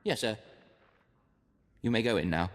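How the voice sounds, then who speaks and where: A man's voice: lowish voice, unhurried, long reverb tail, close to an everyday voice. A man playing a stiff, snooty imperial lieutenant secretary who is easily flustered, in a video game. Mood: submissive.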